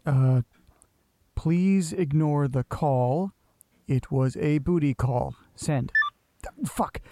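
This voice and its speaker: A man's voice: a little high, extremely slow, warm tone, no room sound. An actor playing an anxious, self-berating man who mutters hesitantly to himself in a naturalistic audio drama. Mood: flustered, self-loathing.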